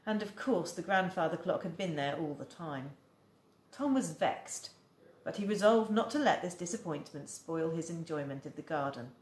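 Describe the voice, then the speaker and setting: A woman's voice: sits low, moderate pace, reverberant. A woman teacher reading a children's novel aloud, acting out the dialogue. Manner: expressive, acts out the dialogue. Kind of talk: storybook read-aloud.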